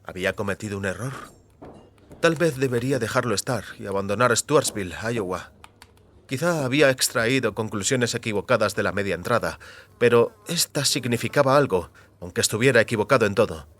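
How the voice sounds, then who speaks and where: A man narrating scripted audio fiction as a storyteller, in a measured, dramatic way. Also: mid-range voice, lively intonation, a bit bright.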